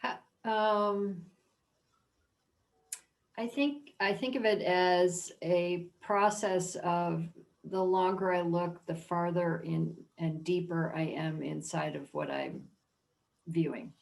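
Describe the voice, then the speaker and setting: A woman curator speaking reflectively and deliberately in an informal panel discussion. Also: fairly low pitch, lively intonation, gravelly. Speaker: art museum curator.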